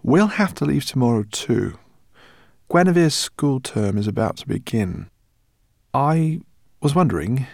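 A man's voice: mid-range voice, expressive, measured pace, creaky, pauses often, no echo, studio quality, pitched above the performer's own voice. Guy doing an eager gray-haired professor dad, hearty, kindly, voice goes up and down loads, storybook style. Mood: awkward. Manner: exuberant, hearty.

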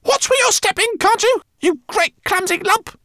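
A man reading an audiobook, voicing a brash, fast-talking female rat pilot in a shrill, squeaky voice. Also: sharp tone, dry recording, clean studio sound. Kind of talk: audiobook character voice.

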